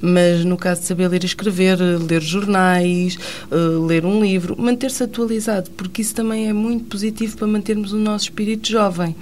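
A woman's voice: mid-range voice, steady tone, fairly quick, darkish tone, a little hiss or hum. A woman, a guest on a radio talk show, explaining things earnestly.

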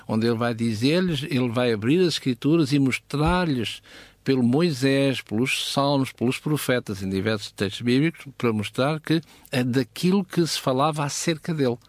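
A man with a European Portuguese accent expounding scripture conversationally as a Bible teacher, halting, with pauses and frequent check questions. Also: medium pitch, lively intonation, no echo.

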